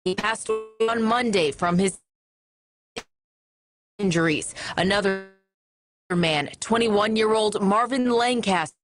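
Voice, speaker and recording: An American woman reports for television news from a script. Her voice is mid-pitched, and she speaks at a slow, deliberate pace. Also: soft background music.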